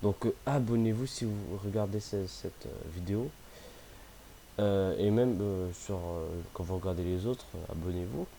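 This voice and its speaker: Young male gamer vlogger, low mellow voice, stuffy from a cold, faint hiss behind. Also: normal speed, vocal fry. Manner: hesitant, many fillers and restarts. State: has a cold, sniffly. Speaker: gaming youtuber. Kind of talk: casual vlog chat.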